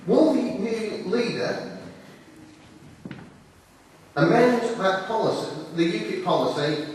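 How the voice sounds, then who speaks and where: A man with a slightly raised voice chairs a public meeting, introducing questions steadily with frequent uhs. His voice carries from far off through a large, reverberant hall, with a faint hiss beneath it. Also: a little high. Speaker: hustings chairman.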